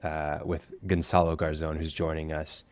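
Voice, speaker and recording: Very low-voiced male podcast co-host, rambling casual storyteller with fillers. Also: completely flat delivery, normal speed, darkish tone. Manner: rambling anecdotes with laughs and fillers.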